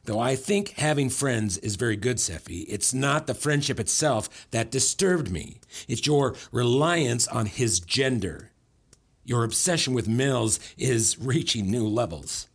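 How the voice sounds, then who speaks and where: A man's voice: medium pitch, expressive, unhurried, husky. A man playing a manipulative, patronising mentor in an audio drama, speaking articulately and with control as a self-styled father figure. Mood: condescending.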